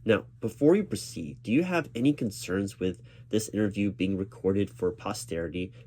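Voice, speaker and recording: A man's voice: on the low side, expressive, moderate pace, darkish tone, slight background noise. A man performing a one-sided spoken ASMR roleplay as a recruiting manager, reassuring and patient, with formal corporate phrasing.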